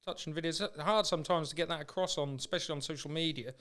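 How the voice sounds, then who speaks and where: A man with an English accent hosts a casual podcast interview. His voice is a little high for a man and notably smooth and clean. He talks informally and supportively, rambling as he goes. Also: quick pace, a bit bright. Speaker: podcast host.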